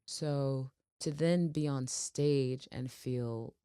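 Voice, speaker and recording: A woman from Portland, Oregon, speaks in a low, commanding voice. She tells her story expressively, acting out others' words and laughing easily. The sound is close and dry. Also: very slow, mellow, clear, resonant tone, dry recording. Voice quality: deep, commanding voice. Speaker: singer, interview guest. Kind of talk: casual interview chat.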